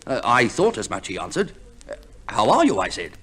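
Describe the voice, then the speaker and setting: An actor playing an exasperated, put-upon husband in an old radio comedy play, blustering and hesitant with a British accent. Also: male voice, mid-range voice, wildly swooping pitch, brisk, a bit bright, breathy edge, heavily acted. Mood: awkward, deadpan. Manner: blustering, hesitant, drily understated. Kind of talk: 1940s-50s radio play acting.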